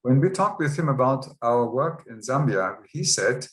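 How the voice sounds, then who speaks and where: A man's voice: medium pitch, lively intonation, normal speed, a bit bright. Man in his sixties, roomy sound. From Germany. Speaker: guest. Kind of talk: interview storytelling. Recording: roomy echo.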